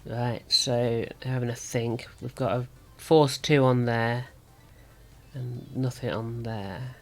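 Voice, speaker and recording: Man with a sore, slightly rough voice. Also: mid-range voice, steady tone, slow pace, faint music behind. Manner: thinking aloud. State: sore throat. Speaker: gaming video host. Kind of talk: casual card game commentary.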